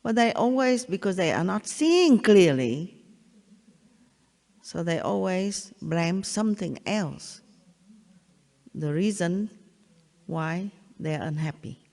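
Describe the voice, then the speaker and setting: Older Vietnamese-accented woman, spiritual teacher, halting and repetitive, long reverb of a large hall. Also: fairly low pitch, expressive, creaky, darkish tone. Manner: halting, repeats phrases, checks in with "yeah?". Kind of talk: satsang talk.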